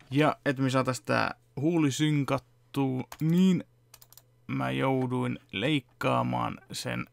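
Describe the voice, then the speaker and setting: Brief: young male vlogger, deeply gravelly, mid-pitched, relaxed walkthrough delivery, filmed close-up at a home desk. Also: no room sound. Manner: relaxed, explaining step by step with fillers. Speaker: vlogger and fan dubber. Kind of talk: casual behind-the-scenes chat. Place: home room at a computer desk.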